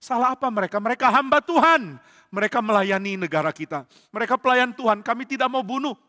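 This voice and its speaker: A man's voice: very high-pitched, lively intonation, quick pace, bright, forceful tone, pitched above the performer's own voice, exaggerated voice. A man voicing soldiers who hesitate and refuse an order. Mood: protesting. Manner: hesitant, protesting. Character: reluctant male soldiers. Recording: long hall reverb.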